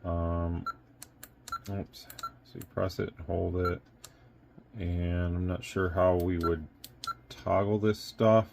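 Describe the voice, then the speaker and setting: A man's voice: deep voice, level tone, slow pace, creaky, long pauses, noisy background. A man giving a casual, unscripted product demonstration as a reviewer and seller, rambling and thinking aloud as he goes.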